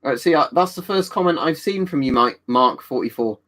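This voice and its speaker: A man's voice: a little high, expressive, a little fast, bright, forceful tone. A man, casually chatting and answering viewers on a livestream. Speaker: livestream host reading chat. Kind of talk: casual chat.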